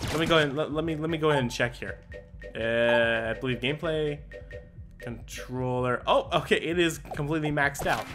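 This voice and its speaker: A young man giving casual, excitable commentary while playing a video game, often exclaiming and stumbling over his words. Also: on the high side, fairly quick. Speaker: gaming YouTuber. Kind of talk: casual let's play commentary. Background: game music.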